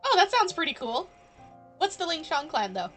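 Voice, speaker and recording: A woman's voice: very high-pitched, big pitch swings, moderate pace, fairly bright tone, faint music behind. A woman live-streaming a video game, giving casual commentary with playful, teasing reactions.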